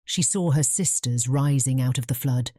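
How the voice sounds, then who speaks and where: A woman's voice: a bass voice, moderate pace, dark tone, dry recording, studio quality. A woman with a British accent reading a storybook as an audiobook narrator.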